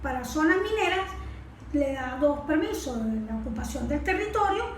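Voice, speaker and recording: High-voiced Venezuelan woman in an echoey room. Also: animated, normal speed. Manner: didactic, asks and answers own questions. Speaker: environmental expert guest. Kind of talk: explanatory interview talk. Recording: echoey room with faint noise.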